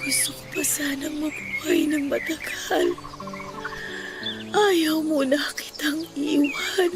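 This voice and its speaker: A woman voicing a gentle young bride near death, speaking softly and haltingly, sometimes in a whisper. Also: high-pitched, steady tone. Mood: tender. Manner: soft, halting, at times whispered. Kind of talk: radio drama acting. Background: loud music.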